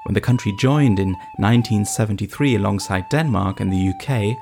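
Guy from east germany reading his feature script, lowish voice, steady and measured. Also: creaky. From eastern Germany. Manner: measured, storytelling delivery. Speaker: reporter and narrator. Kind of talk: scripted narration.

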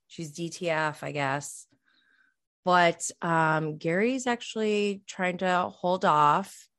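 Slow-talking woman around forty, casual podcast chat. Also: low voice, a bit bright. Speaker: podcast host. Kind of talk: casual solo recap chat about reality TV.

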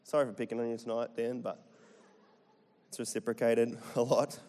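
A man's voice: mid-range voice, level tone, moderate pace, a little mellow, a little hiss or hum. A middle-aged Australian man from Central Queensland, preaching a chatty, joking sermon and putting questions to the congregation. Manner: chatty, jokey, asks the crowd questions. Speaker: pastor. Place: church hall. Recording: long hall reverb.

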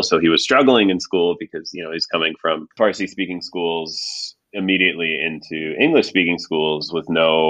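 A man's voice: sits low, fairly bright tone, highs cut off. A man from Iran, a poet guest, talking in an earnest, thoughtful way with lots of fillers. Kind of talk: reflective conversation.

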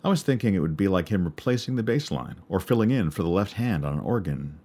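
A man with a baritone voice narrating a personal story in a wry, measured way. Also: big pitch swings, dark tone. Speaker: podcast narrator and musician.

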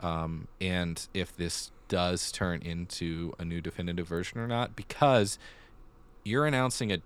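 A man's voice: low-pitched, measured pace, smooth. A man co-hosting a podcast in casual conversation, building his points through repeated phrasing. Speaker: podcast co-host.

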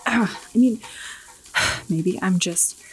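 An actress playing an anxious, sleepless young woman who talks in a hushed, exasperated way and often whispers. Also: mid-range voice, slow, a little hiss or hum, natural voice, low-quality recording. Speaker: Christine, anxious girlfriend. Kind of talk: audio drama acting.

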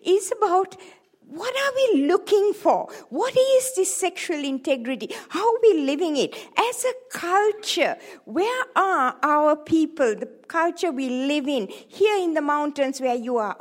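High-voiced Sri Lankan woman in her seventies. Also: unhurried. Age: 70s. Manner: joking, lively, playful asides to the audience. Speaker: seminar speaker, sex therapist. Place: hall with a live audience.

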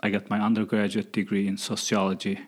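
Husky, low-voiced man with Turkish accent. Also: monotone, fast talker. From eastern Turkey. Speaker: guest, college professor. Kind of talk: conversational interview answers.

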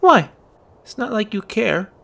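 A man voicing a stubborn, sharp-tongued teenage girl who snaps back indignantly. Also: very animated, drawn-out words, creaky, full character voice. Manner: shrill, indignant, snapping back.

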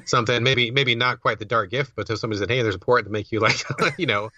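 A man speaks quickly in a bright, forward tone, musing aloud about games and trailing off mid-thought. His dry mic sounds dull and lo-fi. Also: medium pitch, no room sound. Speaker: guest game critic. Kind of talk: casual game discussion.

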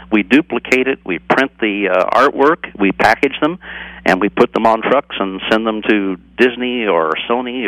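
An older American man speaks in a fairly low register with very little room sound around him. He explains things step by step in an informal interview style, pausing and rephrasing as he goes. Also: fairly bright tone, no room sound, rough recording. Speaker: cassette manufacturer being interviewed.

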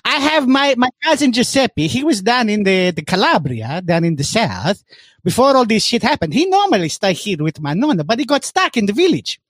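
A man putting on a loud Italian character with a comic accent and broken English, who tells a story about his cousin. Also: high voice, sing-song, moderate pace, no room sound, full character voice. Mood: storytelling. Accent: put-on Italian.

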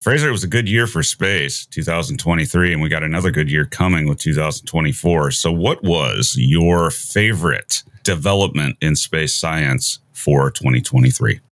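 A man hosting a conversational science interview in a measured, musing way. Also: very deep voice, a bit bright, dry recording. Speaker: podcast host interviewing a guest.

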